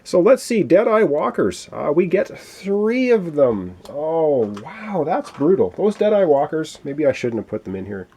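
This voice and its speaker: A man's voice: on the high side, very animated, unhurried, few pauses, dark tone, breathy edge. A man explaining a board game playthrough in a chatty, explanatory way, with many fillers, while losing his voice. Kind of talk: casual tabletop game walkthrough.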